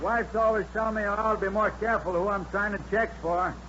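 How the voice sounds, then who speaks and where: A man's voice: high-pitched, steady tone, fast, sharp tone. An actor playing an obliging American aircraft plant foreman in a radio drama, talking in a folksy, rambling way. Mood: uneasy, helpful. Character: chatty, obliging male aircraft plant foreman, ordinary working guy. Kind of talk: 1950s radio drama acting. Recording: muffled old radio, noisy factory behind.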